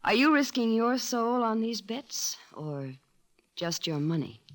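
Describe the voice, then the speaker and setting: A woman's voice: fairly high pitch, very animated, measured pace. A woman playing an earnest, virtuous young missionary in an old-time radio drama, intense and solemn. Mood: earnest challenge.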